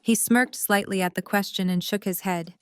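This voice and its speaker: A woman's voice: medium pitch, steady tone, moderate pace, clean tone. A woman narrating a story, reading scripted third-person prose as the narrator of an audio drama.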